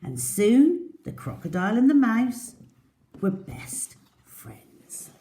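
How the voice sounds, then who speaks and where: A woman's voice: a little high, big pitch swings, slow pace, dark tone, slight background noise. A woman reading a picture book to young children expressively and dramatically, often dropping toward a whisper. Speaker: children's storyteller.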